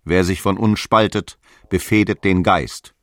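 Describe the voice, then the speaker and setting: A deep, slow, stern sect zealot. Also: male voice, fairly even intonation, no room sound, clean studio sound. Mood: stern, grave. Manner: slow, preaching, severe. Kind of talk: solemn radio drama monologue.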